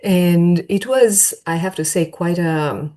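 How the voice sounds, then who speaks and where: A woman's voice: lowish voice, slow pace, dark tone. A woman from Romania with a Romanian accent, an academic guest giving reflective, conversational interview answers and correcting herself as she goes. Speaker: podcast guest, architecture professor.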